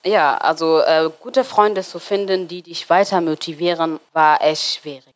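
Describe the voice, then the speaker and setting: Low-voiced young woman from Somalia. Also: fairly even intonation, unhurried. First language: not German. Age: 20s. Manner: hesitant, searching for words with many fillers. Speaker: interview guest. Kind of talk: casual interview talk.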